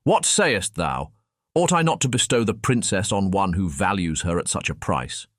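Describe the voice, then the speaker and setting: A man voicing a stately, imperious Sultan with formal, deliberate speech in a fairy tale audiobook. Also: low voice, big pitch swings, fairly bright tone, no echo, studio quality, full character voice. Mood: pondering, impressed.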